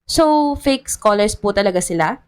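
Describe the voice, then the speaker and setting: A woman's voice: medium pitch, animated, moderate pace, clear, resonant tone, lightly acted. A woman with a Filipino accent voicing a polite but probing news reporter in a story. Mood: pressing. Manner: polite, pressing questions.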